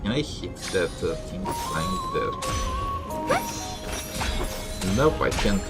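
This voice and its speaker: Low-voiced man giving wry game commentary. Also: wildly swooping pitch, takes it slowly, loud music behind the voice. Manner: wry, skeptical asides. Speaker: streamer playing a game. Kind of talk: casual gameplay commentary.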